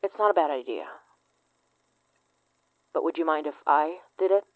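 Female twenties investigator, low and dry-sounding, deadpan tease, world-worn, for a horror audio drama. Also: normal speed, dry recording, narrow, tinny audio. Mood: wry, teasing. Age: 20s. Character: wry, teasing, trauma-worn young woman investigator with psychic senses. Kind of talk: naturalistic audio drama acting.

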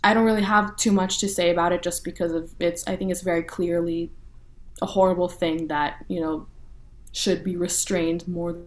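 A young woman from North Texas, speaking as an interview guest in a relaxed way with lots of "you know" and "like" fillers. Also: lowish voice, moderate pace. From North Texas, USA. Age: young adult. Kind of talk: casual interview talk.

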